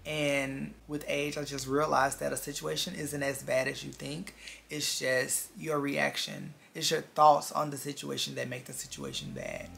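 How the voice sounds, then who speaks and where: Creaky, bright-voiced vlogger in their twenties. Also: medium pitch, expressive, a little slow, slight background noise. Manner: earnest, confiding, repeats phrases for emphasis. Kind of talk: casual reflective chat to viewers. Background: background music.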